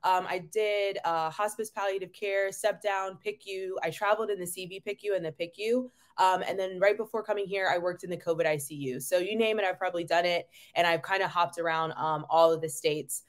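Lady nurse running a webinar from home, low-ish voice, lots of ums n laughs. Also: steady tone, a little fast, hardly any pauses. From North Carolina, US. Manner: chatty and upbeat, laughs often, many ums. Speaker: nurse community manager hosting a webinar. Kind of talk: casual webinar presentation. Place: home room. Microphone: video call.

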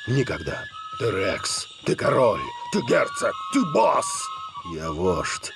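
An actor voicing a gruff, blunt stray dog who leads his pack, dubbed for a film trailer. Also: fairly low pitch, huge pitch swings, slow, over loud music, exaggerated voice. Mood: rallying. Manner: blunt, tough. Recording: dialogue over loud score.

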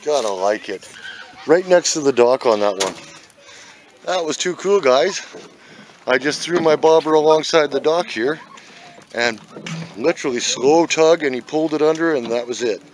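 A man's voice: a little high, moderate pace, long pauses. A man hosting a fishing vlog, chatting casually and jokily to his viewers. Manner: folksy, jokey, addresses viewers as guys. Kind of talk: casual chat to camera while fishing. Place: outdoors on a wooden lake dock. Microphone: handheld camera mic. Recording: clear outdoor noise.

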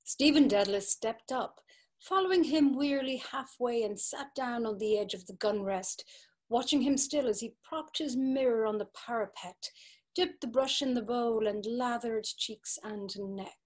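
A young woman calmly reading a novel aloud over a video call. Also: fairly high pitch, moderate pace. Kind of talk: dramatic literary reading. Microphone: webcam on video call.

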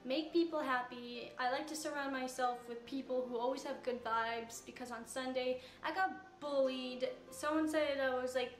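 A teenage girl from Canada with a high-pitched voice, chattily answering fan questions in a casual Q&A. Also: steady tone, moderate pace, hardly any pauses, roomy sound. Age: teens. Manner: chatty, rambling with fillers. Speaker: YouTuber answering Q&A. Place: room with couch, recorded in a reacted video.

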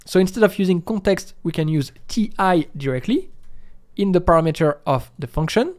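A man with a high-pitched voice presents a step-by-step software tutorial. His intonation moves up and down a lot as he explains each step in an instructional manner. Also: animated, a little fast.

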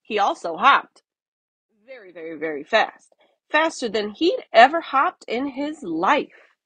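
A woman narrates a children's story with lively, swinging intonation. Her voice is slightly breathy and husky, recorded dry and close. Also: a little high, measured pace, no room sound. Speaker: storybook reader. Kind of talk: expressive children's read-aloud narration.

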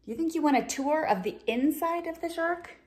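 A woman's voice: sits high, a little fast, reverberant. A middle-aged woman reading a picture book aloud to young children, expressively, stopping to ask them questions. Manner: expressive, asks the listeners questions. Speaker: read-aloud storyteller. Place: small bedroom indoors.

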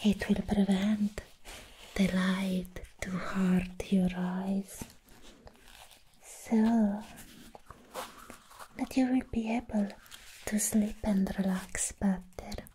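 A woman whispering gently and playfully in an ASMR roleplay as a sweet, friendly vampire girl, breathy and soothing with long pauses. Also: medium pitch, little pitch movement, a little hiss or hum, rough recording. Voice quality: breathy, mostly unvoiced whisper.

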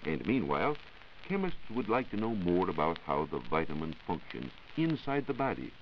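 An American man giving a scripted, measured science talk as a reporter on a 1940s radio show. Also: low voice, sing-song. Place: radio studio. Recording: muffled 1940s radio recording with hiss.

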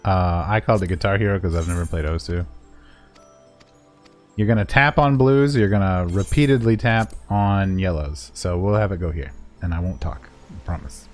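Low-voiced man, casual game guide. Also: normal speed, faint music behind. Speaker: gaming video creator and guide narrator. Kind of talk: casual, unscripted game walkthrough commentary.

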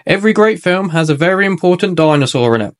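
A gentle female gazelle teacher from a children's storybook who encourages her class. A man voices her while reading aloud. Her voice sits a little higher than his usual one. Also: lively intonation, quick pace.

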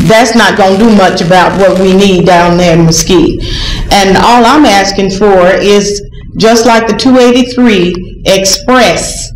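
Chatty woman at a public podium. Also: mid-range voice. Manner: chatty, rambling, folksy. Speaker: bus rider speaking at public comment. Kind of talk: public comment at a board meeting. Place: large council chamber. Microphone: podium microphone, heard from a far camera. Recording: echoey chamber with background noise.

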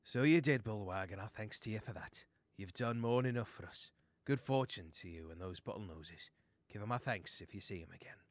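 A man's voice: fairly low pitch, brisk, no echo, natural voice. A man voicing a good-hearted, practical mouse adventurer in a storybook, with a plain, earnest manner. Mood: grateful.

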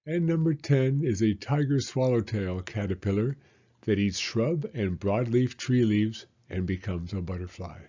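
Man, 60s, children's storyteller: low-pitched, raspy, measured delivery, slightly dull recording. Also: mellow. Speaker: story reader and host, elementary school teacher. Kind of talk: children's picture-book read-aloud.

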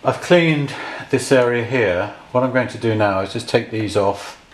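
A man's voice: medium pitch, normal speed, a little hiss or hum. A middle-aged man thinking aloud in a casual, matter-of-fact hands-on tutorial while working at a bench. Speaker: repair hobbyist demonstrating a restoration. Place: indoors at a repair workbench. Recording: roomy, clear handling clatter and clicks.

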